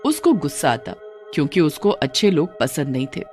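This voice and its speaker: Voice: medium pitch, big pitch swings, normal speed, a little mellow. A narrator reading a scripted cartoon story in a storytelling style. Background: background music.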